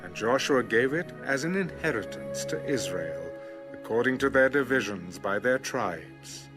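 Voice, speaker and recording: A man reading scripture as a scripted audio narration in a measured, formal way. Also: medium pitch, expressive. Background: background music.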